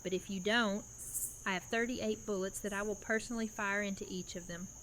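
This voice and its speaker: A woman's voice: medium pitch, fairly even intonation, fairly quick, a bit bright, a little hiss or hum, natural voice. A woman voicing a resolute female community leader who speaks in a firm, commanding way.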